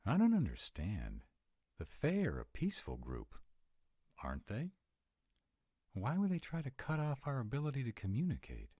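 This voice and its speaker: A man's voice: medium pitch, huge pitch swings, normal speed, long pauses, dark tone, dry recording, studio quality. A man playing an earnest, dutiful young vampire in a roleplay audio drama, speaking hesitantly and protectively. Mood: troubled, puzzled.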